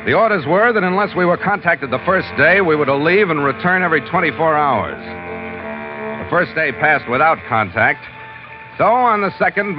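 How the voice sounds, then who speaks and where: An actor playing a terse, seasoned American ship's master, narrating and acting in a hardboiled 1940s radio adventure style. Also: male voice, a little high, lightly acted. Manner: terse, wry, matter-of-fact. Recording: muffled vintage radio, music underneath.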